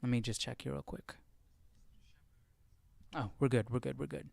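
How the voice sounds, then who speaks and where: A man's voice: medium pitch, fairly even intonation, moderate pace, creaky, a little mellow, dry recording. A man hosting a casual live study session, thinking aloud in a tentative, questioning way. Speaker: livestream Bible study host.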